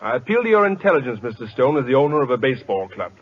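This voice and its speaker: An actor playing an earnest, cocksure college scientist in old radio drama style, precise and formal, stammering when flustered. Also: male voice, medium pitch, very animated, racing, lightly acted. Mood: insistent, cocksure. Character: earnest, cocksure male college scientist turned would-be pitcher. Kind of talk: 1940s-50s radio drama acting. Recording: dull lo-fi old radio broadcast.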